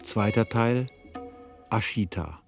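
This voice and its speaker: A man's voice: lowish voice, takes it slowly, creaky. A man working as a radio announcer, reading scripted drama credits and story recaps. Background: music.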